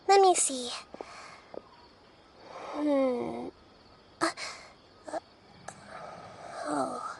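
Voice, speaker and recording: A woman voicing a small, wise, childlike goddess in a video game, soft-spoken, measured and considerate. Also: sits high, animated, creaky, background noise, full character voice. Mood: hesitant. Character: small childlike female deity, wise, gentle, thoughtful.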